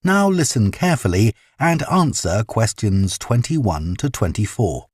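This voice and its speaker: Man reading test instructions, bit husky, lively pitch, dry sound. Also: no room sound. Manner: formal, deliberate. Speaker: test narrator. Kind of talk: scripted exam instructions.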